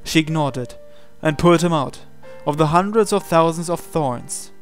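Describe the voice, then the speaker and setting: A man reading scripted, dramatic story narration in a measured, solemn way. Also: a little high. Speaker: narrator reading translated game text. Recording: music behind the voice. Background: music.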